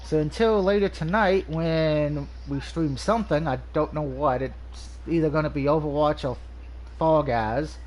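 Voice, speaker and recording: A man with a slightly high voice talks through a video game review in a loose, rambling way. He sounds nasal and stuffed up from allergies, pausing often on "um" and restarting his sentences. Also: a little mellow, a little hiss or hum. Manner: rambling, frequent ums and restarts. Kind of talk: casual game review over gameplay.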